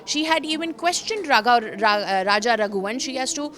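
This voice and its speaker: A woman's voice: a little high, animated, a little fast, a bit bright. A woman with an Indian accent presenting a news explainer as a reporter, speaking emphatically and repeating phrases for stress. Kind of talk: news explainer commentary, semi-scripted.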